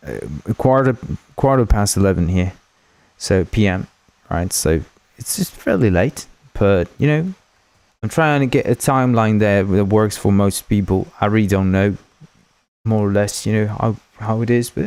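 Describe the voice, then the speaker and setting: Low-voiced man chatting on a livestream. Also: big pitch swings, measured pace, pauses often, darkish tone, no echo. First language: Spanish. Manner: rambling, jokey, thanks viewers often. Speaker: livestream host, game developer. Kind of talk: casual livestream chat.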